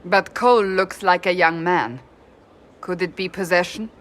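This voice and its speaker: Woman playing a lowish-voiced, direct warrior type. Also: fairly even intonation, measured pace, lightly acted. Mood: probing. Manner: direct, probing. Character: direct, serious woman, demanding answers. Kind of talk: dramatic video game acting.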